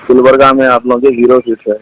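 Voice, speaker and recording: A man's voice: medium pitch, little pitch movement, fast talker. A man calling as an interviewer on a recorded phone line, informal and pressing in his questions. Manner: pressing, challenging.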